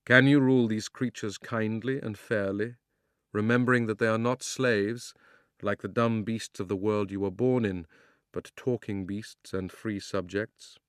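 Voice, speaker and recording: A majestic talking lion king from a storybook speaks slowly and gravely, as a man reads him aloud. His words are low and commanding, and the recording is dry and close. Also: fairly even intonation, no room sound, lightly acted. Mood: solemn. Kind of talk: storybook reading.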